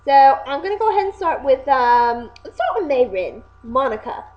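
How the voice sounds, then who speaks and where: A young woman co-hosting a casual video, chatting excitably and often correcting herself. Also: high voice, normal speed. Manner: animated, self-correcting, excitable. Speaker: video co-host reviewing anime voice acting. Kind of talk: casual chat. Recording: dull lo-fi home recording.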